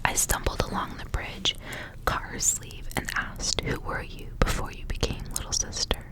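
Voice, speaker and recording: A young woman whispering in a breathy, intimate ASMR style, softly reading and chatting. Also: moderate pace. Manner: soft, intimate narration with casual asides. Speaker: ASMR reader.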